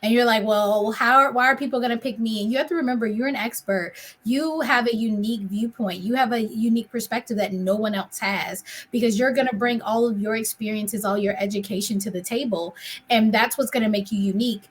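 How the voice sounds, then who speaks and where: A woman hosting a business podcast, talking in an upbeat, encouraging way with plenty of "um"s. Her voice sits a little high for a woman. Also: fairly quick, husky. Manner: encouraging, chatty, with fillers. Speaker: podcast host. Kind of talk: podcast interview.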